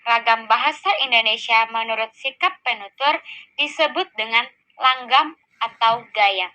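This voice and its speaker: A young woman, a student, reading her group's class presentation in a steady, careful way. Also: fairly high pitch, a little slow, low-quality recording.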